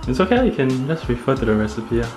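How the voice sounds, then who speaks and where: Woman behind the camera, really low voice, swoops around a lot, short unsure answers. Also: very animated, quick pace, loud music behind the voice. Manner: unsure, laughing along, short replies. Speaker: off-camera helper. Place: home kitchen, indoors.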